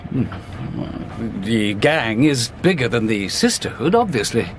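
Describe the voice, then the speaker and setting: An actor playing a confident, opinionated Victorian police inspector in a radio drama, humming and then pronouncing firmly. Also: male voice, mid-range voice, sing-song, slow pace. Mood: opinionated, assured. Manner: hesitant hums, assertive pronouncements. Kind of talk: period radio drama acting. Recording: clear background noise.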